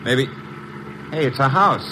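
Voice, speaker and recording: A man's voice: mid-range voice, expressive, measured pace, lightly acted. An actor playing a breezy, wry male detective's assistant in an old-time radio mystery, slangy and easygoing. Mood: wary. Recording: old radio recording, clear background noise.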